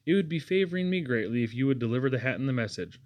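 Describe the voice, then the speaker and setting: A man's voice: mid-range voice, animated, rapid-fire, a little mellow, natural voice. A man voicing a proud, polite young lad in a storybook, speaking earnestly and directly.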